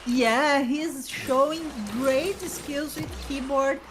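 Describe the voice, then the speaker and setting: A woman's voice: high-pitched, fairly even intonation, slow, slight background noise. A woman from Brazil with a Brazilian Portuguese accent, co-casting a live esports race and giving friendly, plain comments on play. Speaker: stream host and co-caster. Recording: live stream with loud game music. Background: game music.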